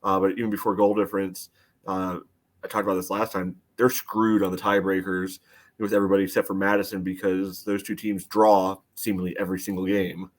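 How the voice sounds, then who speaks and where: Male sports podcast panelist, slightly deep voice, informal analysis. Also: little pitch movement, a little fast.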